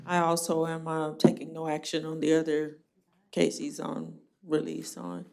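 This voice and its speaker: A woman judge running a jail court hearing, brisk and plainspoken with a dry joking streak. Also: low voice, completely flat delivery, creaky, mellow.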